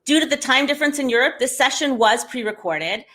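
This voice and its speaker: A woman's voice: high voice, lively intonation, fairly quick, a bit bright. A woman hosting a conference, reading an upbeat scripted introduction to a session. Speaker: conference host.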